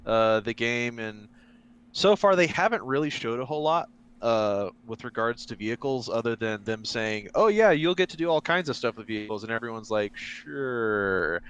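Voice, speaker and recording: A man with a smooth, resonant voice co-hosts a casual podcast. He explains things in a loose, hesitant way, with plenty of fillers as he goes. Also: medium pitch, lively intonation, moderate pace, a bit bright, lo-fi sound. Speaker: podcast co-host. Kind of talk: casual chat.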